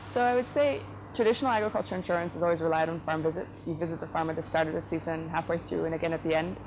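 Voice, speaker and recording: A middle-aged woman from the Netherlands, a company head giving thoughtful interview answers, often pausing with fillers and correcting herself. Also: low voice. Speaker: company CEO, interview guest. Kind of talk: relaxed conversational interview answers. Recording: noticeable background noise.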